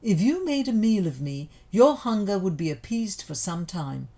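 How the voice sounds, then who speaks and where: A large, devoted leopard in a storybook fable, played by a woman. The loyal friend speaks formally and earnestly, with animated intonation. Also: medium pitch, normal speed. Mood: earnest, offering.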